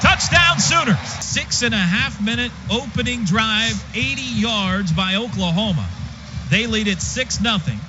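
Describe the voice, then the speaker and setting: An American man calling live football on the radio in clipped, rapid-fire phrases, with an excitable delivery that rises on big plays. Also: high voice, music in the background. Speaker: play-by-play announcer. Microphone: broadcast booth microphone. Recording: dull lo-fi broadcast, loud stadium noise.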